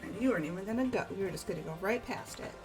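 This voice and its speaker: A woman's voice: medium pitch, lively intonation, very fast, darkish tone, soft background music. A woman bantering casually and teasingly as a co-player in a game playthrough.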